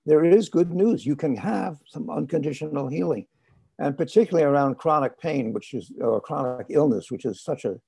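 A man hosts a podcast in a measured, earnest voice. His tone is gravelly and a bit husky, set somewhat high and warm. The sound is dry and close to the mic. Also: no room sound. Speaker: podcast host. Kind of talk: scripted intro, then interview.

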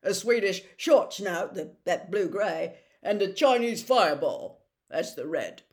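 A woman reading an audiobook voices a brisk young man who keeps dragons, firm and matter-of-fact. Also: fairly low pitch, very animated, a little mellow.

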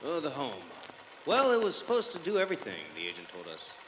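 Troubled husband in echoey old radio play. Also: male voice, on the high side, wildly swooping pitch, fairly bright tone, a little hiss or hum. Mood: dazed, wistful. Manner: halting, distraught, wistful. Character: troubled, dazed husband and father recounting to psychiatrist. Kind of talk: 1950s radio drama acting.

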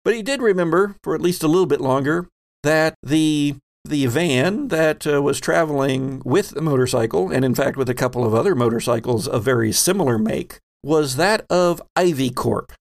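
A man narrating a tabletop game podcast as host and game master, with dramatic storytelling phrasing and deliberate pauses. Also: fairly high pitch.

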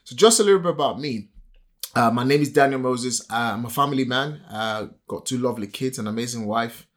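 A man from Nigeria, not a native English speaker, co-hosts a podcast with upbeat, motivational energy. His voice sits at a middle pitch for a man, and he leans on little fillers as he talks. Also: brisk. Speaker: podcast co-host.

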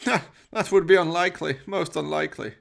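Radio play villain: pompous middle-aged evil doctor, raised pitch, savouring each word theatrically. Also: male voice, fairly even intonation, a little fast, gravelly. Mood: pompous, musing. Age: 50s. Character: sinister, gloating middle-aged mad scientist doctor.

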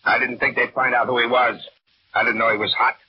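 An actor playing a coolly menacing killer on the run in a vintage radio drama, mock-polite and curt. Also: male voice, mid-range voice, level tone, fairly quick, noisy background, close to an everyday voice. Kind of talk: 1940s-50s radio drama acting. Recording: dull old radio recording, music behind.

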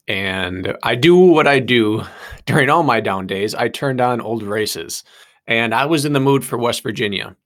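Laid-back guy hosting a podcast, mid-pitched voice, likes telling little stories. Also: fairly bright tone. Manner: easygoing, storytelling, laughs along. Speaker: podcast host. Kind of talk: casual podcast interview.

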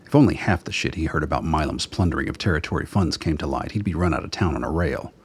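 A man with a husky voice reading scripted narration for an audio drama as its narrator. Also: extremely low pitch, expressive, quick pace.

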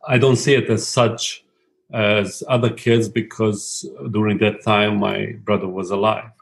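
A man in his thirties from Bosnia and Herzegovina speaks English as his second language. His voice is lowish with a gravelly fry, and he talks reflectively and haltingly, often restarting his sentences. He speaks as a guest giving personal testimony. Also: level tone. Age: 30s.

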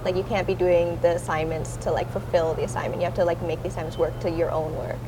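Young woman, low-ish voice, hesitant, lots of fillers, noisy recording. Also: flat delivery, quick pace. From Toronto area, Canada. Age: young adult. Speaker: guest, art student. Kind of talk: casual interview.

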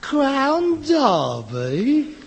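A man's voice: very high voice, wildly swooping pitch, very slow, vocal fry, full character voice. An actor playing a sarcastic, exasperated grown son in a British sitcom, a London rag-and-bone man with a mocking manner. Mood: exasperated, scornful. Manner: mocking, sardonic. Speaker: actor in a comedy. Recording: reverberant, noisy old sitcom recording.

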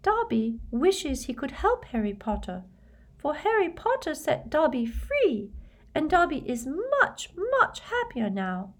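Lady doing a squeaky little male elf servant, super high, eager and grovelling, audiobook style. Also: sing-song, unhurried. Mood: timid, concerned. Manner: deferential, speaks of himself in third person.

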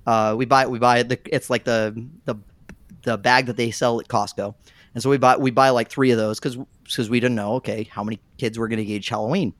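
A man on a podcast panel chatting casually, telling stories with lively bursts and putting on voices as he goes. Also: medium pitch, brisk, no room sound. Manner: animated storyteller, bursts into mimicking voices.

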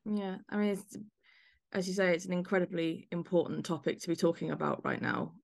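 A woman's voice: fairly low pitch, fairly even intonation, brisk, gravelly, no echo, studio quality. A woman hosting a podcast, chatting conversationally with a guest about drama. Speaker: podcast host. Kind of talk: interview chat about a play.

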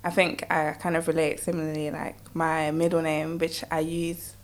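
A young British-accented woman with a voice a little on the low side. She interviews in an informal, chatty style, responding often with short affirmations. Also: monotone, moderate pace. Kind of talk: casual conversational interview.

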